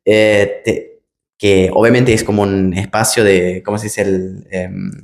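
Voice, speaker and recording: A man's voice: lowish voice, little pitch movement, measured pace, creaky. German man with Argentine Spanish, hesitant. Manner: hesitant, many restarts and fillers. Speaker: project manager, interviewer. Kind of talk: casual interview talk.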